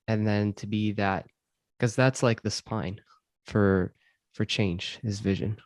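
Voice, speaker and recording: A man from Syria, host of a self-improvement podcast, answering interview questions in a thoughtful, measured way with frequent ums. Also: lowish voice, steady tone, dry recording. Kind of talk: reflective conversational interview.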